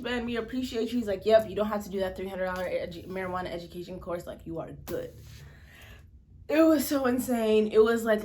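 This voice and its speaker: A young woman from Malawi talks through a personal story in a relaxed vlog and acts out what other people said. Her voice sits at a middle pitch. A faint hum of room noise runs behind her. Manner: chatty, expressive, acts out quotes with pitch jumps. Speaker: vlogger. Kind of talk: casual storytime vlog while eating.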